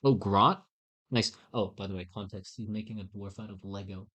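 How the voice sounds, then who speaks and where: A man chatting casually on a live stream while he draws, in a halting, self-mocking way. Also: fairly low pitch, fairly even intonation, sharp tone, slightly rough.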